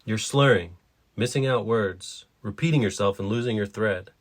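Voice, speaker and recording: A man's voice: lowish voice, normal speed, close to an everyday voice. Concerned, sceptical father, played by a man. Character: concerned, sceptical father questioning his former partner.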